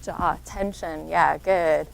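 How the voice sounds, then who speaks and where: A woman with a soft voice, a social worker giving an interactive workshop talk and encouraging answers from the audience. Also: deep voice, steady tone, takes it slowly, creaky, low-quality recording.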